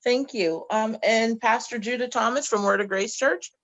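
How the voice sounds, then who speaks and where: A woman's voice: on the high side, normal speed, a bit bright, no echo. A woman hosting a panel discussion on a video call, chatty and encouraging, with frequent fillers. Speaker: host.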